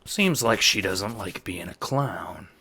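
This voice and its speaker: A man doing a slow, hypnotic mob schemer. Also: sits low, lively intonation, bright, forceful tone, husky, exaggerated voice. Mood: scheming. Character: smooth, slow-talking male mob schemer.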